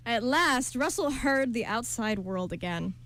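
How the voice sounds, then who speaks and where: A young woman narrating a romance script aloud for an amateur radio show, in a steady storybook manner. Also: on the high side.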